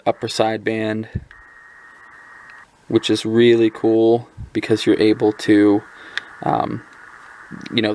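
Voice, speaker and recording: A man's voice: lowish voice, slight background noise, low-quality recording. A man giving a casual hands-on review of a handheld radio, talking loosely with lots of ums and restarts. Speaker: product reviewer.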